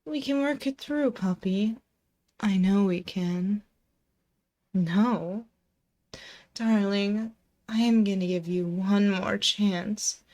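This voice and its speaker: A possessive female demon, played by a woman, speaks slowly and intimately, right up at a binaural mic. Her medium-pitched voice teases and coaxes in a condescending, controlling way, in the manner of an ASMR girlfriend roleplay. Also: a little mellow. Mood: coaxing, ominous. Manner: slow, coaxing, condescending pet-name teasing. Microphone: close binaural microphone.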